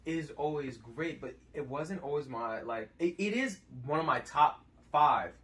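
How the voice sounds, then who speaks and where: Easygoing man, halting and filler-heavy. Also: fairly high pitch, lively intonation, a bit bright. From Haiti. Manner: hesitant, many fillers and restarts, laughs easily. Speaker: guest. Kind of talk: casual chat.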